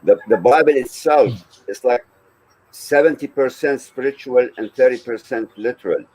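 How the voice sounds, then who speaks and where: A man's voice: mid-range voice, moderate pace, darkish tone, a little hiss or hum, low-quality recording. A man speaking informally as a panelist in a religious discussion, explaining his views in plain conversational terms.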